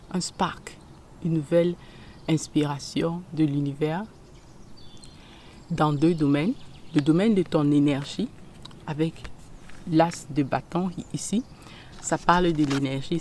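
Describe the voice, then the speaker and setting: A woman giving a tarot reading straight to the viewer, gentle and unhurried, often pausing and searching for words. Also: low voice, slight background noise. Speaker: tarot reader.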